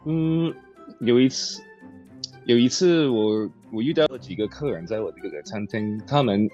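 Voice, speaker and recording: A man's voice: medium pitch, animated, normal speed, a little mellow, lo-fi sound, soft background music. A young American man in a casual interview, laid-back, chuckling often and hedging his words. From United States. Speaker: language program student.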